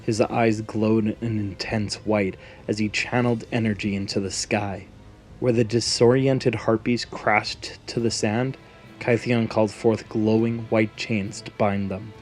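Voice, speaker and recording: Low-voiced man narrating dramatic fantasy. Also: level tone, unhurried, a little mellow. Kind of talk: scripted fantasy story narration. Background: background music.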